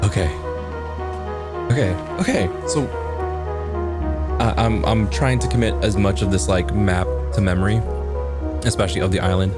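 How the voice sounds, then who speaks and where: Low-voiced guy player, stumbling while he thinks out loud, loud music. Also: moderate pace, pauses often. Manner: hesitant, restarts while thinking aloud.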